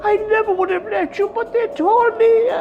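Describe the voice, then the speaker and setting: A man's voice: very high voice, fairly even intonation, normal speed, highs cut off, heavy background noise. A man playing a grieving older Irish widower in a radio play, wry and rambling, with an Irish accent. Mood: horrified, distraught. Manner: rambling, conversational, emotive.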